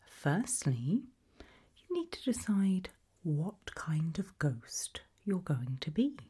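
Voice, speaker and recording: A British woman doing an ASMR roleplay in a breathy whisper, putting soft questions to the listener with deliberate pauses. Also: low voice. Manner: slow, deliberate, with long pauses.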